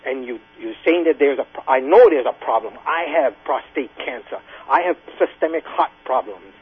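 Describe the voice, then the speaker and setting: A man's voice: medium pitch, expressive, normal speed, breathy edge. A man in his late sixties calling in to a radio show, arguing angrily and insistently, with emphatic repetition. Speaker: radio call-in caller, Vietnam-era veteran. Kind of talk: impassioned call-in argument. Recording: tinny, band-limited radio phone line.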